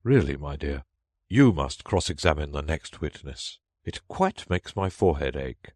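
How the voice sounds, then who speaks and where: Storybook king, acted by a man: testy, bossy, commanding, lively swings in pitch. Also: moderate pace, dry recording, studio quality. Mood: weary. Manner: sharp, commanding. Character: irritable, bossy old-fashioned storybook king. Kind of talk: storybook character voice.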